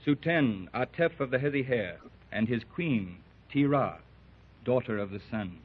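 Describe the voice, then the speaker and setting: Brief: a grave masked warrior chief, slow and archaic, on a tinny old radio recording. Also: male voice, medium pitch, expressive, husky. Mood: solemn. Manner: slow, formal and archaic, with thee and thou. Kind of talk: 1930s radio drama acting.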